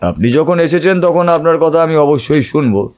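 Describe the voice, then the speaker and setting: A male actor as a philosophical private detective in an audio play. His voice sits a little high for a man, and he talks with measured confidence, as if instructing. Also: lively intonation, a little mellow, lightly acted. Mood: calm, frank. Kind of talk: Bengali audio detective drama acting.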